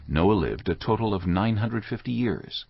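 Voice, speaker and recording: A man narrating scripture from a script in a solemn, deliberate, measured way. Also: lowish voice, expressive, gravelly, slight background noise. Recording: dull audio with loud music behind.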